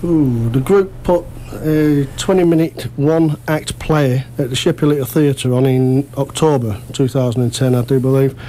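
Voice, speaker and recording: A man with a dark, mellow, mid-pitched voice. He chats casually and modestly as an interview guest, with faint noise in the background. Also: moderate pace, hardly any pauses. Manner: modest, self-deprecating. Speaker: amateur actor interview guest. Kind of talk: casual radio interview chat.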